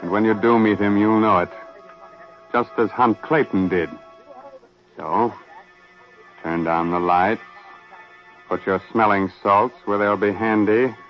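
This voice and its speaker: A man's voice: fairly low pitch, slow pace, pauses often. A man hosting and narrating a 1940s radio horror show in a dramatic, sardonic, theatrical manner. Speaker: radio mystery host and narrator. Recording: muffled 1940s radio recording. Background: music.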